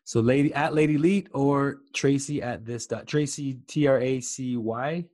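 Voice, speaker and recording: A podcast host asking casual, curious follow-up questions in a relaxed interview. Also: medium pitch, measured pace. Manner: curious, asks short follow-up questions with soft backchannels.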